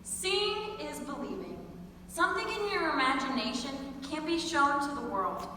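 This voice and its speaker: A woman's voice: high voice, very animated, normal speed, pushed and bright. A teenage girl reciting a monologue as a student performer. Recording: very echoey hall, distant mic, faint noise.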